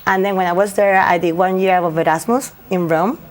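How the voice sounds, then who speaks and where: A young woman with a Spanish accent talks through her career in English, her second language. Her voice sits fairly low for a woman, and she pauses often with fillers and restarts. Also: steady tone. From Spain. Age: young adult. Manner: hesitant, many fillers and restarts. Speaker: lawyer guest. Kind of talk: interview answers.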